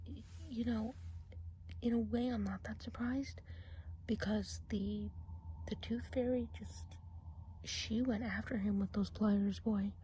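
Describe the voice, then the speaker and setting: A woman voicing a gossipy woodland witch in a breathy, confiding whisper for an ASMR roleplay. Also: fairly high pitch, slight background noise, natural voice, poor audio. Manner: gossipy, confiding, soft-spoken. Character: gossipy woodland witch giving a whimsical eye exam.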